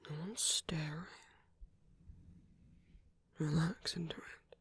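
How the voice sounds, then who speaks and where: A man playing a suave, flirtatious villain in an ASMR roleplay, speaking softly and intimately in a breathy half-whisper with a teasing, coaxing manner. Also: a little high, fairly even intonation, slow, heavily acted. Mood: playful, reassuring. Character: suave flirtatious male villain wooing a hero at a masquerade.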